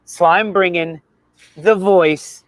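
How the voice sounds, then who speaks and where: An American man from the South chatting casually as co-host of a football fan show, animated and quick to laugh. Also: sits high, no echo. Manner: animated, laughs often, slips into put-on accents. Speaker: podcast co-host.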